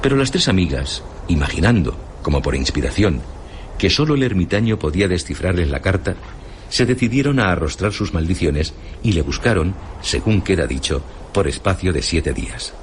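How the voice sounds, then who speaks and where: A man with a very deep voice and a Castilian accent reads literary narration for a radio play. His storytelling is measured. Clear ambient noise runs behind him. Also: animated. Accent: Castilian Spanish.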